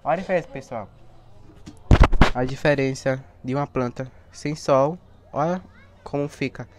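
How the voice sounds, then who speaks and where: A young man with a cold and a hoarse voice, chatting casually as he films his plants outdoors on a handheld phone. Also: medium pitch, normal speed, noisy background. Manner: chatty, points things out to viewers. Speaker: plant vlogger. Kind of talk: casual walkthrough vlog. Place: outdoor backyard garden area. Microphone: handheld phone camera.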